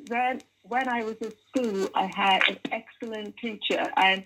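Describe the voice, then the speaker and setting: A woman's voice: medium pitch, fairly even intonation, a little slow, sharp tone, a little hiss or hum, low-quality recording. A middle-aged woman from Guyana, a children's author working in tech, answering interview questions earnestly with frequent restarts.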